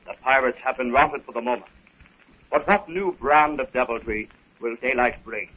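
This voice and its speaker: A man reads scripted serial announcements in a formal, dramatic manner at a middling pitch. The sound is a muffled 1930s radio broadcast. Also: normal speed, pushed and bright, breathy edge.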